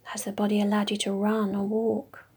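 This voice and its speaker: A woman leading a guided meditation in a slow, soft, mostly whispered voice. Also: mid-range voice, monotone, slightly rough.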